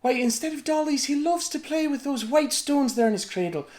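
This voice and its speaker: A storyteller doing a clever giant's wife from a children's storybook, coaxing in a honeyed lilt. The voice rises high and lively as she sweet-talks. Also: fairly quick.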